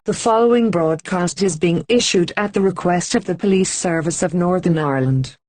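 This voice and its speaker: A woman reading a scripted emergency broadcast announcement in an even, formal manner with slow, deliberate pauses. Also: on the low side.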